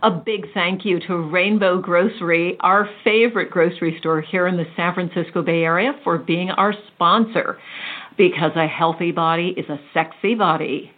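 Woman doing a sponsor read, slightly low voice, muffled radio quality. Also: normal speed. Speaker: sponsor message reader. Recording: band-limited radio-style sound.

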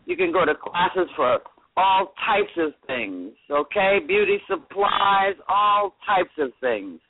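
A woman in her seventies from Connecticut, telling stories as a guest in a radio interview in a chatty, lively way. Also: on the low side, slow pace, background noise. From Connecticut, USA. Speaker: fashion designer guest. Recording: dry, tinny phone-like radio sound.

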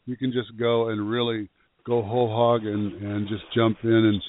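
A man's voice: on the low side, flat delivery, moderate pace. Blunt man on a tinny phone line. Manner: blunt and direct, repeats words when starting phrases. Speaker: psychic medium co-host. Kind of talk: on-air psychic reading.

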